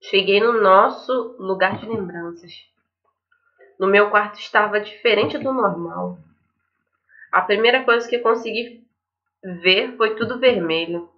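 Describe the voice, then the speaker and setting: A woman's voice: mid-range voice, measured pace, pauses often. A young woman with a Brazilian accent, reading a visual novel aloud and adding casual asides as a gaming host. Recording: dull lo-fi microphone, faint music. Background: faint game music.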